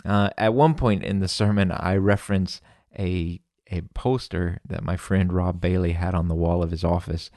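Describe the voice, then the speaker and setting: A man from America hosting a podcast, casually introducing an episode in a relaxed, explanatory way. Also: deep voice, normal speed, few pauses, dry recording, studio quality. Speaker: podcast host.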